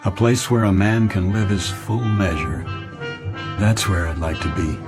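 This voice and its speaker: A worn-down, middle-aged American husband and office man who dreams of escape. His voice is on the low side and he halts and doubles back on his words. Clear music plays under this radio drama. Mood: dreamy yearning. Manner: halting, repeats words. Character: weary, dull middle-aged married businessman, a wistful dreamer. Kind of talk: audio drama acting.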